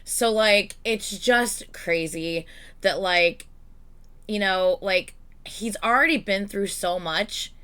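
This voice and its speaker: Bright, slightly hoarse woman vlogger. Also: medium pitch, takes it slowly. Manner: chatty, excitable, swears freely. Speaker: reaction channel host. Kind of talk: casual reaction vlog chat.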